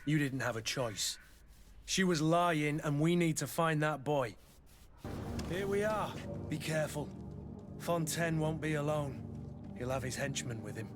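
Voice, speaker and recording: An actor playing a loyal, blunt and cautious companion in a video game. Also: male voice, on the high side, a little slow, pauses often, over music, lightly acted. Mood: grim, watchful. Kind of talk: video game dialogue acting.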